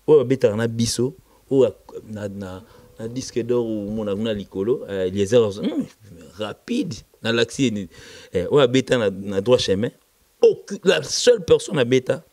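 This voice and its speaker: A man with a Congolese accent and Lingala as his first language speaks as an interviewed musician, emphatic and repetitive, switching between halting French and Lingala. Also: medium pitch, sing-song, a little mellow. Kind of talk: casual interview, recounting band history.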